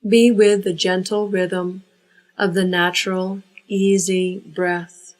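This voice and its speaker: A woman leading a guided yoga nidra relaxation, giving unhurried, measured instructions. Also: lowish voice, level tone, low-quality recording.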